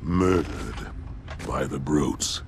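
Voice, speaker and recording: A man voicing a proud, stern alien warrior in a video game, with a weighty gravelly voice and grave, formal speech. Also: rumbling low, expressive, very slow, background noise, full character voice. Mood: grim. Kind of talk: video game cutscene acting. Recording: echoing hall, distant, music behind.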